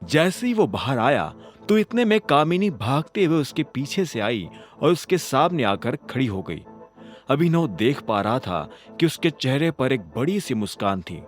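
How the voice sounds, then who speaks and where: A man's voice: medium pitch, very animated, a little slow, faint music behind. A man reading scripted audio drama narration in a dramatic storytelling manner.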